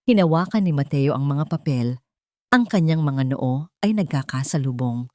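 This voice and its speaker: Low-voiced woman narrating a drama. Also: animated, normal speed, mellow, dry recording, clean studio sound.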